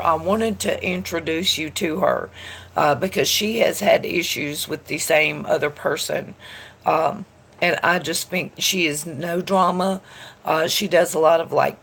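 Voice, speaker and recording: Stuffed-up woman, gravelly voice. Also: low voice. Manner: chatty, rambling, greets viewers by name. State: stuffed-up nose from allergies. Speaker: tarot reader live-streaming to chat. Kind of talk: casual live-stream chat reading comments aloud.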